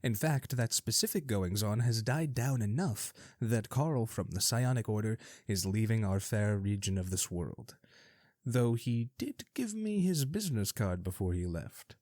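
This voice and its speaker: A man with a lowish voice hosts a scripted fantasy radio broadcast. He talks in a wry, theatrical manner, full of knowing asides. The sound is close and dry, with no room around it. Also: lively intonation, unhurried, a little mellow, no echo. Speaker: radio show host.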